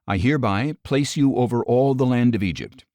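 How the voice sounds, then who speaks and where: A man reading scripture aloud as a troubled, commanding king of ancient Egypt, measured and grave. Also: medium pitch, animated, dark tone, dry recording, studio quality, lightly acted.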